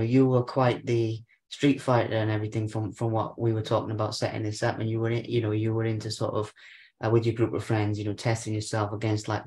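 A man's voice: fairly low pitch, darkish tone. A young adult man hosting an interview over a video call, asking long, hedging questions full of fillers. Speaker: interviewer, podcast host. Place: living room sofa, indoors. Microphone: webcam on a video call.

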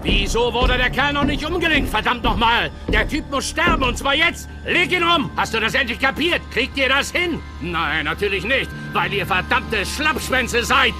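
A sweary game villain boss, greedy and swoopy, loud music behind him. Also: male voice, very high voice, lively intonation, normal speed, few pauses. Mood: furious. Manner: blustering, swearing, swinging from shouting to menace. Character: volatile foul-mouthed greedy male villain boss. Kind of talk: dramatic video game cutscene acting.

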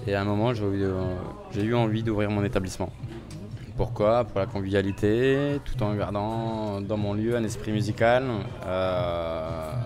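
A man in his forties, a restaurant owner, talking casually in an interview with a relaxed, hesitant manner and many fillers, into a handheld mic in a small restaurant. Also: fairly low pitch. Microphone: handheld reporter mic. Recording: music playing behind.

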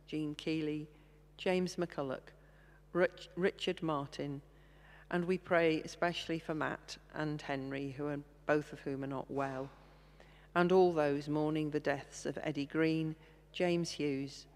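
A woman leading intercession prayers in a church service, reading slowly and steadily at a stand microphone. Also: low voice, steady tone. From England. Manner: slow, measured reading. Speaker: intercessions leader. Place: large church, indoors. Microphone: stand mic, far camera. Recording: long church reverb.

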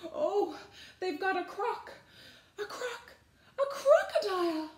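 A woman's voice: very high voice, big pitch swings, slow pace, a little mellow, pitched above the performer's own voice, heavily acted. A woman voicing a young male hoodie gang leader, a cowardly bully, in an exaggerated storybook style. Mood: terrified. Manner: exaggerated, mocking or stammering. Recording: roomy echo.

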